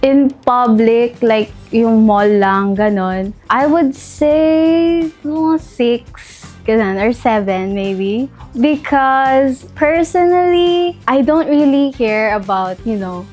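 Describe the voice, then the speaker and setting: Young Filipino woman, higher-pitched, casual. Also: expressive, slow, darkish tone. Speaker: interview guest. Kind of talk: casual chat, mixing two languages. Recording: music behind the voice. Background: background music.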